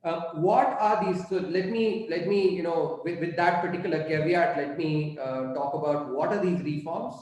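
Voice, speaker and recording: Middle-aged Indian English speaker, Tamil native, lecturing off the cuff, slightly high voice, boomy echoing room. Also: male voice, darkish tone. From southern India. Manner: halting, many fillers and restarts. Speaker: guest speaker on economics. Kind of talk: unscripted college lecture. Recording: very echoey room.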